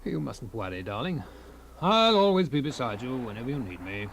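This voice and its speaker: A man's voice: medium pitch, sing-song, brisk, vocal fry. An actor playing a mild, befuddled husband in his thirties in a radio drama, hesitant and vague. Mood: mild, reassuring. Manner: hesitant, vague, faintly amused.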